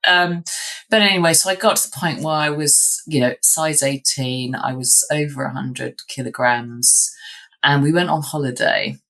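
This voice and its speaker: Woman in her 50s, low deep voice, lots of ums n restarts, chatty guest. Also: moderate pace, fairly bright tone. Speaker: guest, lawyer. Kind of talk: candid interview answers.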